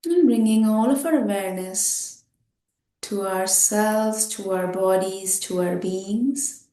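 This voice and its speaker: A woman guiding a meditation, giving gentle instructions in short, unhurried phrases with pauses. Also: medium pitch, roomy sound. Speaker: meditation guide.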